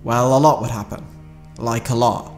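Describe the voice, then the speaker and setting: A man's voice: mid-range voice, steady tone, moderate pace, darkish tone. Man narrating over prominent music. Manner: conversational, wry asides. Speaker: video essay narrator. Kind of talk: semi-scripted fan commentary narration. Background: background music.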